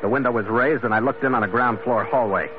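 An actor playing a tough, wry sailor and bodyguard in an old radio drama, terse and matter-of-fact. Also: male voice, mid-range voice, quick pace, noisy background, close to an everyday voice. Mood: grim narration. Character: tough, wry Irish-American sailor hired as bodyguard, narrating. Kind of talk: 1940s-50s radio drama acting, hard-boiled narration. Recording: dull vintage radio recording.

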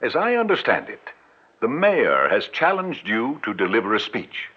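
An actor playing a grandiose, smooth-talking older con man who lectures in a pompous, fatherly way, in a 1940s radio drama. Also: mid-range voice, wildly swooping pitch, normal speed. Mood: coaxing. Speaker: swindler. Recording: tinny old radio broadcast.

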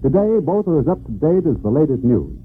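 A man reading a scripted promo as a radio announcer, in a smooth, emphatic style on an old broadcast. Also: a little high, very animated, fairly quick, phone-quality sound.

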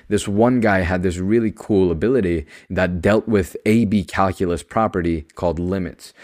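A young man with a deep, projected voice giving a casual podcast monologue in an emphatic, matter-of-fact, know-it-all manner. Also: darkish tone. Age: young adult. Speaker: podcast host.

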